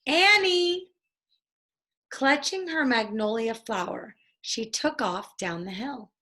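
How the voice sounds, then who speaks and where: A woman narrates a children's storybook aloud. Her voice sits fairly high and moves very slowly, with deliberate, expressive phrasing. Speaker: storybook reader.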